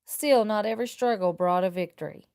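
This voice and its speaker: A woman's voice: medium pitch, fairly quick, darkish tone, clear, resonant tone, dry recording. Woman reading a children's storybook. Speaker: teacher reading a picture book aloud. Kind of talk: expressive children's storybook read-aloud in first person.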